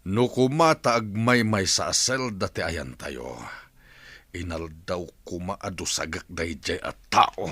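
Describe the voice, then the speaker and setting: A man's voice: sits low, animated, takes it slowly, pushed and bright, husky, no echo, full character voice. A man playing a bitter, cursing crime boss in an agitated, complaining way in an audio drama. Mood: bitter, resigned. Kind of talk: radio drama dialogue.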